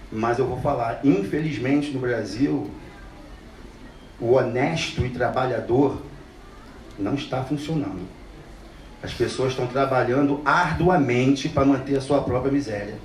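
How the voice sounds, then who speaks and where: A man's voice: mid-range voice, a little mellow. A man from Brazil, speaking bluntly and passionately to an audience and repeating phrases for emphasis. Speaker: speaker telling his life story. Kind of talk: earnest talk to an audience. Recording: roomy, distant mic with faint noise.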